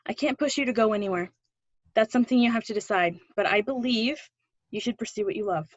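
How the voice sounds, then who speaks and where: A woman's voice: on the high side, fast, no echo, muffled recording, lightly acted. A woman acting an earnest, pushy drama teacher with faded dreams, encouraging and wistful in a play readthrough.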